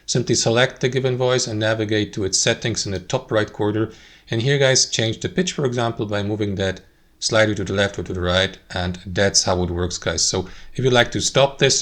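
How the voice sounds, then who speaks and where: Guy with a lowish voice doing a step by step app tutorial, informal. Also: a bit bright. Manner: addresses listeners as guys, step-by-step explaining. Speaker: tutorial presenter. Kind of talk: instructional software walkthrough, informal.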